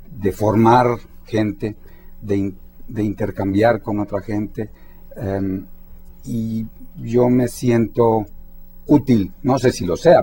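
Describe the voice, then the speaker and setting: A man's voice: lowish voice, creaky, warm tone, breathy edge. A man speaking as a researcher guest in a talk-show interview, reflective and trailing off.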